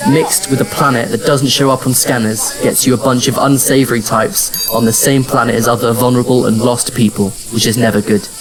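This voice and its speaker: A man narrating a scripted audio drama in the first person, with measured, serious storytelling. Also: medium pitch, low-quality recording. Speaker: narrator and lead actor.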